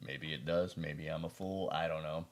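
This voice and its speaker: A man's voice: rumbling low, fairly even intonation, moderate pace. An American man hosting a prepper video, talking casually through a product review in a rambling, folksy, self-deprecating way.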